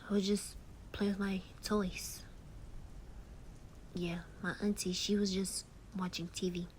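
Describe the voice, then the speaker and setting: A woman voicing a gentle young boy who comforts his best friend in an ASMR roleplay, speaking softly and often in a whisper. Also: mid-range voice, a little hiss or hum, close to an everyday voice, low-quality recording. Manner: soft, soothing, often whispered.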